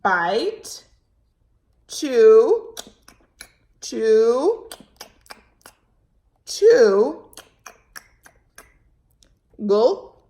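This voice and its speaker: A woman reading aloud to young children as a storytime host, expressive, with dramatic pauses and questions to her listeners. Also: fairly high pitch, echoey room.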